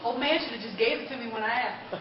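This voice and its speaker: A woman playing an arrogant, callous rich anime businesswoman in live panel banter, with a deadpan, entitled manner. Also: a little high, quick pace, close to an everyday voice. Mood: entitled, dismissive. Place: convention panel room, indoors. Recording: echoey, dull, distant, crowd noise.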